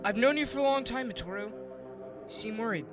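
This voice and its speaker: A man voicing an earnest young guard captain in an animated fan dub, probing and insistent. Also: extremely high pitch, brisk, over music. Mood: insistent, worried.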